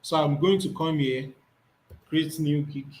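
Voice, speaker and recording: A man's voice: fairly high pitch, steady tone, measured pace, darkish tone, rough recording. Man teaching a screen tutorial. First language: Yoruba. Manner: thinks aloud, repeats words while reading off the screen. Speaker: instructor.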